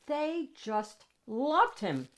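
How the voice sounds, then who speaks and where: A woman reading a picture book aloud as a storytime host, addressing young listeners with dramatic pauses and drawn-out key words. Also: sits high, huge pitch swings, a bit bright.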